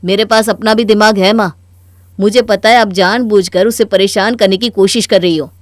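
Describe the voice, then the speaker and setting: A woman's voice: mid-range voice, steady tone, moderate pace, dry recording, studio quality, close to an everyday voice. A woman voicing a young married man in a cartoon story, firm and reasoning, mildly exasperated. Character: calm, firm young married son reasoning with his mother. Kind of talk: Hindi cartoon story dialogue.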